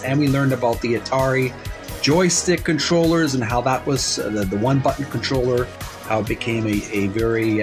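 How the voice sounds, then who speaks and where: A man hosting a video game channel, speaking casually or reading aloud in a halting, deliberate, phrase-by-phrase way. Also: mid-range voice. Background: background music.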